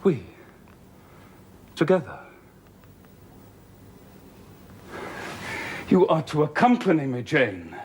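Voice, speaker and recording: An actor playing a brooding, passionate gentleman who is master of the house, speaking intensely and pleading, from low murmurs to outbursts, in a period film drama. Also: big pitch swings, measured pace, noisy background.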